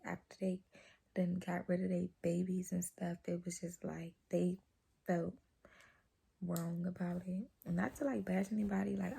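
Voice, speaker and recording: A young woman vlogger with a naturally low voice, chatting casually to a camera held close to her face, talking softly and rambling with lots of fillers. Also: creaky, breathy edge. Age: young adult.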